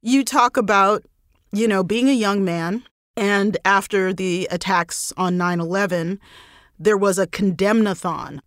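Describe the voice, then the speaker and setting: A middle-aged woman hosting a podcast, reading a scripted intro and then asking relaxed questions. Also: mid-range voice, a little slow, dry recording, studio quality. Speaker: podcast host.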